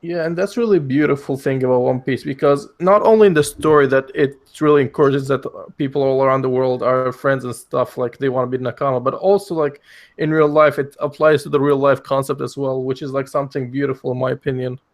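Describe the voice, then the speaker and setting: Man, from the Middle East, living in Canada, enthusiastic, agreeable, middle pitch. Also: steady tone, brisk. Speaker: guest. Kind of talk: casual group chat.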